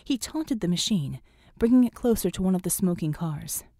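Female audiobook narrator for action fiction: warm, relaxed timbre, scripted storytelling, dry close recording. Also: lowish voice, normal speed, no echo.

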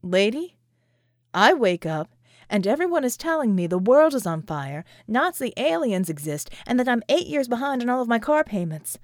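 A woman voicing a skeptical, wary American man with a gruff, folksy drawl, in an audiobook story. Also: very high-pitched, big pitch swings, normal speed, no room sound, studio quality, exaggerated voice. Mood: exasperated.

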